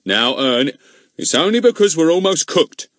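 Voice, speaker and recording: Male narrator voicing a pompous, shouty village policeman; high and strained, dry close sound. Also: very animated, normal speed, no room sound. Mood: wheedling. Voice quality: loud, cross and bossy.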